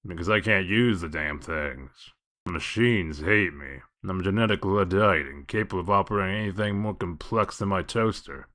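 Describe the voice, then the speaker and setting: A man playing a gruff, sardonic detective with a dry, evasive manner in a comic dub. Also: deep voice, normal speed, pushed and bright. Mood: dry, grumbling. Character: gruff, sardonic male detective of exiled fables. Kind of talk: comic dub character acting.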